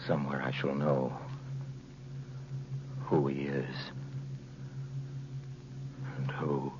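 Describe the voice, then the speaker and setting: A very deep-voiced, haunted man broods his way haltingly through a radio play monologue, desperate and close to madness. The old 1940s recording is muffled, with clear noise. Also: little pitch movement, heavily acted. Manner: slow, halting, brooding delivery.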